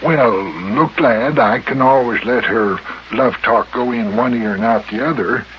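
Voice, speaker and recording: A male actor as an older, folksy mayor in a vintage radio serial. He drawls slowly, stammering and sweet-talking in a bumbling way. The sound is dull, with faint noise. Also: mid-range voice, natural voice. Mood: pleading. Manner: halting, stammering, cajoling, chuckling. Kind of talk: 1950s children's radio serial acting. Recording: dull, noisy old radio recording.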